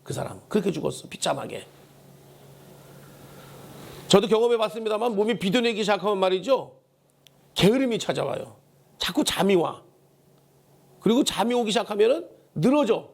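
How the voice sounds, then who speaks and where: Male pastor, high voice, hoarse and gravelly, emphatic sermon delivery with repeated key phrases. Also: lively intonation, normal speed, pauses often. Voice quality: hoarse, worn voice. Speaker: pastor preaching a Sunday sermon. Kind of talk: Korean church sermon with call-and-response prompts. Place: church service.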